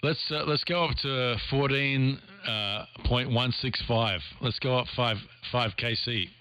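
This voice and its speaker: A man's voice: mid-range voice, a little slow. Man on very noisy shortwave radio. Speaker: amateur radio operator. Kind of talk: casual two-way radio contact.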